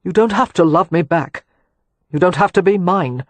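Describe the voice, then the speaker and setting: A woman voicing a glib but earnest young gentleman with a firm, raised, clipped voice. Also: lowish voice, expressive, normal speed, no echo. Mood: pleading.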